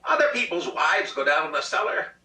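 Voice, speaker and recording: Guy doing a whiny exasperated husband from a comic story, real swoopy and sharp, roomy far-off mic. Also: a little high, big pitch swings, brisk, voice pushed up, heavily acted. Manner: pleading, exasperated, emphatic. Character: peevish, childishly insistent middle-class husband plotting murder. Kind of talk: dramatic reading of a comic short story. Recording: roomy, distant rehearsal recording.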